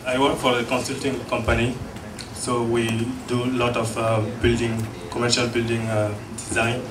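A man in his thirties, an engineer on a panel with a handheld mic, speaking plainly with halting restarts. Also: mid-range voice, little pitch movement. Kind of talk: panel discussion. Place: classroom panel table. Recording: distant echoey room with noise.

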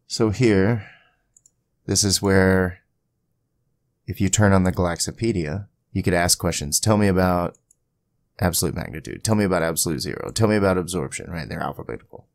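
A man giving a casual screen-capture software tutorial, thinking aloud informally as he goes. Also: sits low, gravelly, dry recording, clean studio sound. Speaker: tutorial host.

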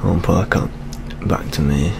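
A young British man playing a weary, jaded older police detective in a soft-spoken ASMR roleplay, muttering with gloomy gallows humour. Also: deep voice, unhurried, vocal fry, background noise, heavily acted. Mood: wry, weary. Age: young adult.

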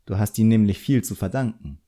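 A man voicing a composed, quietly sharp female drawing teacher in an audiobook, instructive and measured. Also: on the low side, natural voice.

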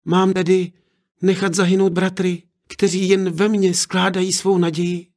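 A man's voice: high-pitched, voice pushed up. A man voicing a brave, devoted young girl in a fairy tale read aloud, earnest and pleading. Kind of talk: storybook reading.

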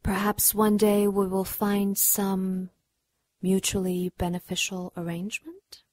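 A woman's voice: mid-range voice, little pitch movement, measured pace, a little mellow, no echo, studio quality, lightly acted. A woman playing an imperious, seductive demon in a radio drama, haughty and menacing. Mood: silky, sly.

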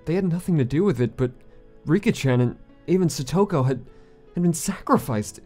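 Man as a mellow, solemn teenage boy narrator. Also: a little high, expressive, brisk, faint music behind. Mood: anguished, remorseful. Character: earnest, guilt-ridden teenage boy narrating his story. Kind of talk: visual novel fan dub, scripted first-person narration.

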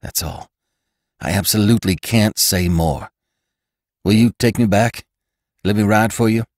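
A man's voice: on the low side, a little slow, no echo, studio quality, voice pushed up, close to an everyday voice. A man voicing a gaunt, earnest ranch rider who speaks in an urgent, emphatic way.

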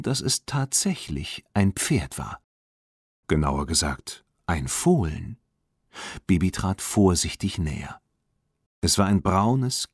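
German guy reading a kids audiobook, lowish voice, really animated intonation, dry sound. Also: husky, no room sound. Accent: standard German. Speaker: audiobook narrator. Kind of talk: scripted narration of a children's story.

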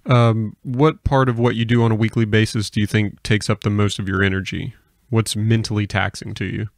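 A man with a fairly low voice interviews someone in a casual podcast style. He searches for his wording and rephrases as he goes, recorded close and dry. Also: little pitch movement, fairly quick, no echo.

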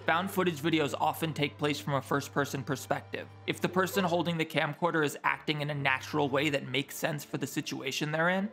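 A man's voice: fairly high pitch, fairly bright tone. A man delivering scripted video essay narration in an articulate, explanatory manner. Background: background music.

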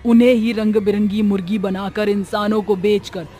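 A woman plays a vengeful cartoon witch ghost. She speaks dramatically and menacingly at a medium pitch, with soft music behind. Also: fairly even intonation, moderate pace, natural voice. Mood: bitter. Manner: dramatic, threatening.

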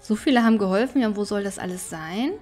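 A woman's voice: medium pitch, quick pace, darkish tone, soft background music. A woman giving casual, chatty gameplay commentary, thinking aloud as she plays. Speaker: let's player.

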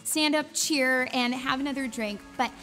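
A woman's voice: high voice, normal speed, pushed and bright, faint music behind. A woman in her thirties hosting a fundraising auction in a chatty, encouraging way, urging people on. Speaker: auctioneer and gala host. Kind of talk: live fundraising auction presenting. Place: studio set.

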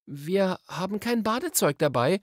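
A man's voice: high voice, lively intonation, a little slow, no echo, studio quality, pitched above the performer's own voice. A man voicing a cautious, sensible young girl in a storybook, earnest and questioning.

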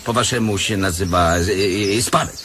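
An actor playing a brash Polish émigré back from America in a radio comedy, with an Americanised accent, groping for words. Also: male voice, low-pitched, sharp tone, a little hiss or hum. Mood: halting, self-important. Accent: Americanised Polish, peppered with English. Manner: halting, searching for words, blunt. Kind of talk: radio comedy play acting.

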